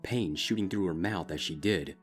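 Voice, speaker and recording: A man reading a dark fanfiction story aloud in a slow, grave, steady narrating style. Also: low-pitched, faint music behind. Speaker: fanfiction reader.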